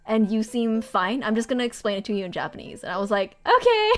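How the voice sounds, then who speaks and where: A woman's voice: fairly high pitch, sing-song, a little fast, soft background music. A woman casually chatting to her livestream viewers while sorting notebooks, rambling and laughing often. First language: Korean. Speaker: streamer.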